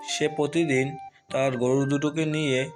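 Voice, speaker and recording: A man's voice: a little high, flat delivery, a little slow. A man narrating a children's cartoon tale as a scripted storyteller. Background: music.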